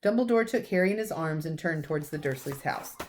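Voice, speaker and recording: A woman with a fairly low voice reads a children's storybook aloud. She narrates expressively, as a teacher does for young listeners. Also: animated, brisk, slight background noise.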